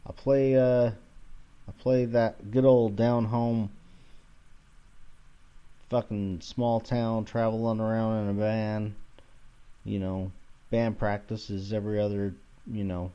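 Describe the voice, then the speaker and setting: A man's voice: on the low side, mellow, muffled recording. A man around forty rambling casually as a solo podcast host, irreverent, sweary and self-deprecating, recorded at home in his kitchen.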